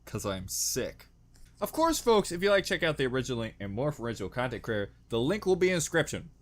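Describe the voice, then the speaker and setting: A man's voice: medium pitch, wildly swooping pitch, fast. A man hosting a reaction video with casual, joking, exaggerated and profane commentary.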